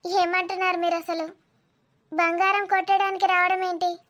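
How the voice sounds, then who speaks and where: Woman voicing a very high-pitched haughty middle-aged lady. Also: little pitch movement, normal speed, fairly bright tone. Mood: indignant. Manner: snobbish, indignant, fussy. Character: haughty, plump, well-off middle-aged mother-in-law. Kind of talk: cartoon comedy dialogue.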